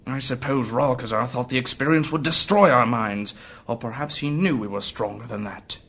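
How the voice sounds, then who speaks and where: A battle-worn male fantasy adventurer with a grave, guarded air. He speaks at a middle pitch in solemn, courtly, archaic phrases, like a storyteller in an audio drama who has seen terrible things. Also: normal speed, a bit bright. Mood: grim, haunted.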